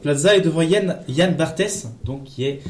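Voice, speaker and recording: Higher-voiced man in a roomy space. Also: moderate pace. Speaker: radio show columnist. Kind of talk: casual chronicle presentation with banter. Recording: roomy echo, faint background noise.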